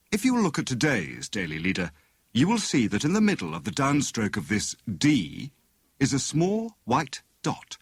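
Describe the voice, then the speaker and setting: A man's voice: mid-range voice, breathy edge, no echo, clean studio sound, voice pushed up, full character voice. A man voicing a jovial, pompous husband who plays amateur detective in a teasing, mock-grand manner for an audiobook.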